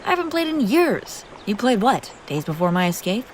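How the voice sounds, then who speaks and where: A woman's voice: medium pitch, very animated, normal speed, a little hiss or hum, close to an everyday voice. A woman playing a haughty, wry young princess on the run in an acted fantasy audio roleplay, bantering with exasperated sarcasm. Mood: flustered, exasperated. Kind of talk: acted audio roleplay, one-sided dialogue.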